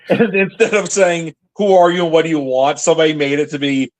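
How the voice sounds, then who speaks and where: A man's voice: fairly high pitch, steady tone, fairly quick, poor audio. A man co-hosting a podcast, chatting casually in an easygoing way and adding bits of trivia. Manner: easygoing, laughs along, adds trivia. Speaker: podcast co-host. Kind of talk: casual chat about a TV series.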